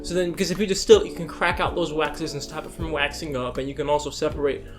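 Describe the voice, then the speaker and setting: A young man hosting a reaction video, talking in a brash, excitable way and swearing freely as he explains what he is watching. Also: on the high side, fast talker, soft background music. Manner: excitable and brash, swears freely, jumps between loud and soft. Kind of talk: casual reaction commentary.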